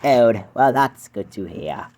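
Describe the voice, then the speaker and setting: A man voicing a calm, patient male engine who counsels a friend in a fan-made cartoon dub. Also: medium pitch. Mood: soothing. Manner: soothing, patient, slow.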